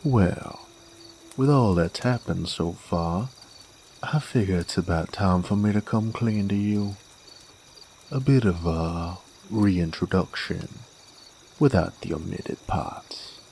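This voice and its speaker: A man playing a sardonic, menacing werewolf bounty hunter in a Western audio drama, with a folksy American Western drawl and a gravelly, unhurried delivery. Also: low-pitched, a little mellow, a little hiss or hum, full character voice. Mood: calm, menacing. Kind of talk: Western audio roleplay, intimate dramatic monologue. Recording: faint outdoor night ambience with crickets. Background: crickets and insects.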